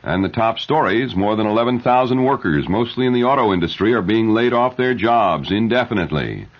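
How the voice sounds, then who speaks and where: A man reading news and ads as a radio newscaster in a measured 1970s announcer style. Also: fairly low pitch, animated. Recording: dull, lo-fi 1970s radio broadcast recording.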